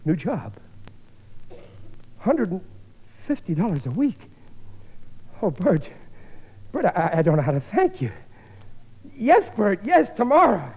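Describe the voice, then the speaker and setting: A man's voice: sits high, huge pitch swings, slow, pauses often, slightly rough, full character voice. An actor playing a hapless, blustery, middle-aged working-class father in a stammering, emotional 1950s radio comedy style. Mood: overjoyed. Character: excitable, hapless working-class family father, easily overwhelmed. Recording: muffled old radio broadcast.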